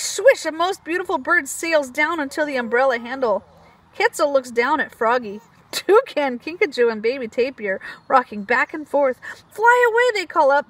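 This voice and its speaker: Preschool teacher lady reading a picture book, high voice, super swoopy and expressive. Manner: animated, expressive storytelling for young children. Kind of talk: children's picture book read-aloud with asides.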